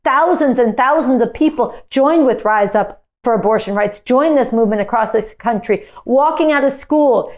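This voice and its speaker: A high-pitched woman speaks as an activist delivering a political speech. Her delivery is emphatic and indignant, with phrases repeated to press each point. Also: a little mellow.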